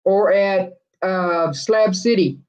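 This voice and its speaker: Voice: medium pitch, takes it slowly, a little mellow. A livestream host rambles through viewer comments in casual chat. The host chuckles often and restarts sentences a lot. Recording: dull lo-fi livestream audio.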